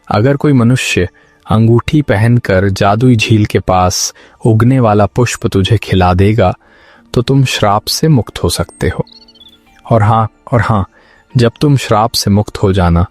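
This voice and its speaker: A man voicing a stern but compassionate hermit sage in an animated moral story. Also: lowish voice, unhurried, no echo, lightly acted. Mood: solemn. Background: music.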